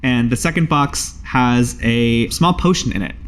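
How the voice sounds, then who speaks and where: A man's voice: medium pitch, unhurried. A man narrating an improvised tabletop game as game master, with casual, colloquial phrasing.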